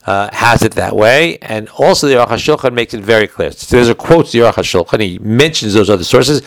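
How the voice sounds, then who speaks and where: A man's voice: on the low side, lively intonation, fast, gravelly, dry recording. A man giving an informal religious law class, explaining texts in a digressive way, often rephrasing and posing questions to himself.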